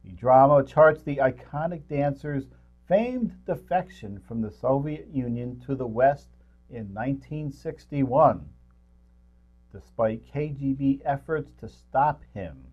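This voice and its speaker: A man's voice: mid-range voice, expressive, takes it slowly, dull audio. An older man co-hosting a casual movie-review chat in a rambling way. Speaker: co-host.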